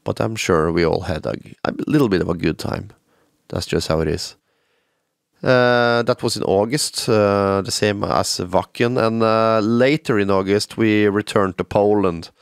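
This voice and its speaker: A man's voice: on the low side, measured pace, creaky, clear, resonant tone, dry recording, clean studio sound. A man hosting a podcast, telling news in a rambling way with many fillers, sounding nasal from a stuffy nose due to a cold. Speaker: podcast host and band musician. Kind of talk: solo podcast update.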